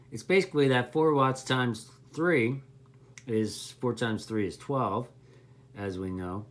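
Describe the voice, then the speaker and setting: A man giving a casual, unscripted hobby explainer in a rambling, folksy way, often correcting himself as he goes. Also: mid-range voice. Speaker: CB radio hobbyist explaining gear to beginners.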